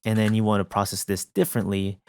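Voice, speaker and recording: A man's voice: on the low side, little pitch movement, quick pace, a little mellow, clean tone. A man presenting a music production tutorial in a casual, explanatory narration style.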